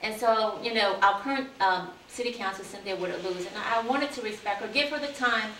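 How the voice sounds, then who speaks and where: A woman in her forties, born in Vietnam, a city council candidate telling her life story in an earnest, steady way. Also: mid-range voice, brisk. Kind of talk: interview answers, life story. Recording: echoey room, distant mic, faint hiss.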